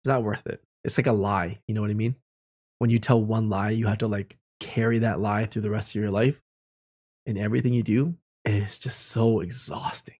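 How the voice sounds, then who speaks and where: A man's voice: fairly low pitch, steady tone, normal speed, darkish tone. A young man telling personal stories in a casual, candid and reflective way, speaking informally. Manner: candid, informal, with mild swearing.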